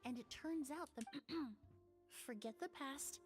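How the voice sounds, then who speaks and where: An actress playing a composed, reflective young woman perfumer in video game dialogue, speaking in a measured, articulate way. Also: high-pitched. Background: music.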